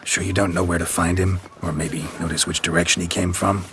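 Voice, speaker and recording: An actor playing a stoic, terse monster hunter with a gravelly voice and deadpan delivery in a video game. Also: male voice, low-pitched, a little fast, slight background noise. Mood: dry. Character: stoic, dry monster-hunting witcher, a grown man.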